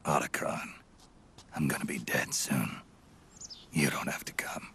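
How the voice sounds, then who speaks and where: A prematurely aged, dying soldier from a video game drama. His voice is extremely low and badly rasped, dragging slowly through short, solemn, weary phrases. He is stoic and terse. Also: fairly even intonation, sharp tone, full character voice. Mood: weary, resigned. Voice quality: gravelly, breathy rasp. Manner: terse, solemn, half-whispered.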